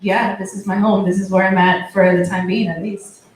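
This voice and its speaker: A woman's voice: mid-range voice, little pitch movement, normal speed. A young woman from Boston, a musician guest in a casual interview, speaking thoughtfully with frequent restarts and fillers. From Boston, USA. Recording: echoey room, distant mic, faint noise.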